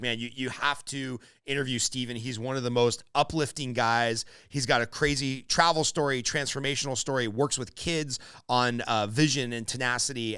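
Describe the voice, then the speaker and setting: A middle-aged man hosts a podcast in a relaxed, friendly, conversational way. His voice is smooth and clean. It sounds close and dry on a studio microphone. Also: medium pitch, dry recording. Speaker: podcast host. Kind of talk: casual interview chat. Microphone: studio mic with headphones.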